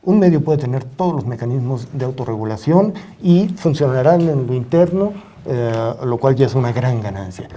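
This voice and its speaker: A middle-aged man with a Mexican accent, speaking as an expert guest in a studio discussion in a deliberate, halting, measured way. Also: medium pitch, animated, mellow. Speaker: media ombudsman and journalist, panel guest. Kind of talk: reflective studio interview discussion.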